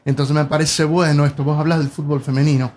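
A man's voice: a little high, little pitch movement, quick pace, a little mellow, a little hiss or hum. A man in his sixties with a Rioplatense Spanish accent, chatting casually and hesitantly with many fillers. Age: 60s. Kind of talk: casual chat.

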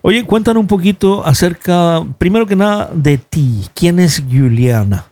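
A man hosting a radio show, his voice sitting a little high for a man. He asks questions in a familiar way, starting with "oye" and tacking on "¿eh?". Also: animated, unhurried, darkish tone. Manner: friendly questions with tag "¿eh?" and "oye". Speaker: radio host. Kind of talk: radio interview. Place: radio studio.

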